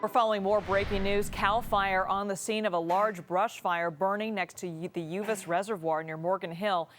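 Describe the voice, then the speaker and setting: A woman news anchor reading stories and handing off to reporters, in a crisp anchor delivery. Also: lowish voice, fairly bright tone.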